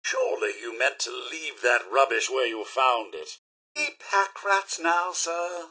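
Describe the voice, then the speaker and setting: An actor playing a fussy, chipper robot butler in a video game, with a British accent and a polite, pompous, theatrical manner. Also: male voice, medium pitch, animated, unhurried, pushed and bright, breathy edge, exaggerated voice. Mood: fussy, concerned. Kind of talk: video game character voice lines.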